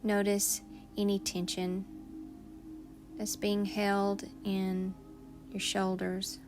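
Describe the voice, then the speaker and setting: A woman leading a guided meditation in a soothing, unhurried manner, leaving long pauses between phrases. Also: medium pitch, completely flat delivery, over music.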